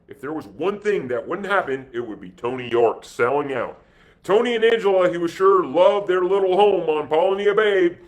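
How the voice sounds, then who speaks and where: A man giving an expressive, impromptu amateur reading of an adventure story. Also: sits high, normal speed. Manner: animated, expressive delivery with put-on dialogue voices. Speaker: amateur reader.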